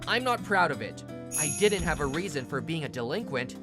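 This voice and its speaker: A woman's voice: sits low, big pitch swings, brisk, over music. A woman voicing a male university student, plain-spoken but blunt and tough when provoked, in a manga dub. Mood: earnest, reflective. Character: young male university student, rough ex-delinquent, protective. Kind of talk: manga dub acting and first-person narration.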